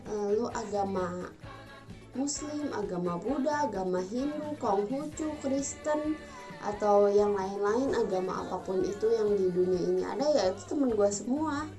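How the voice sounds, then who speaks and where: Young woman vlogger, Sundanese accent, chatty and emphatic, mid-pitched, music bed underneath. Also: lively intonation, measured pace, mellow. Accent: Sundanese Indonesian. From Bandung, Indonesia. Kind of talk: casual talk to camera.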